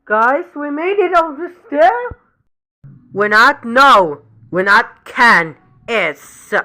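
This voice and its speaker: A woman talking casually in halting fragments as she improvises a story roleplay. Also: high-pitched, animated. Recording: loud vehicle and ambient noise.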